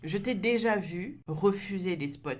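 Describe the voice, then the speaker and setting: A woman hosting an interview, asking measured questions and giving frequent short affirmations. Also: medium pitch. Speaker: interview host.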